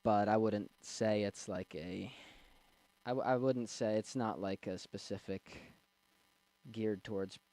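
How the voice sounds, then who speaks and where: A man in his twenties with a young, slightly squeaky voice, casually rambling and answering chat on a livestream. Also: creaky, darkish tone, poor audio. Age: 20s. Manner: rambling, with fillers and restarts. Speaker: streamer reading chat. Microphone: desk mic.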